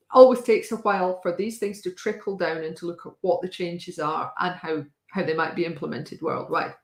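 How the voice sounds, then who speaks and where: A woman hosting a casual talk with a wry, chatty manner and a slightly hoarse voice, often pausing with fillers. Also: on the low side, reverberant. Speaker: host. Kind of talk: casual live-stream talk.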